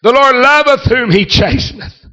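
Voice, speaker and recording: Hoarse, high-voiced preacher. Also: male voice, normal speed. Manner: peppers every phrase with amen and hallelujah, bursts into shouts. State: hoarse, raspy from preaching all week. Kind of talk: fervent revival sermon. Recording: dull lo-fi recording.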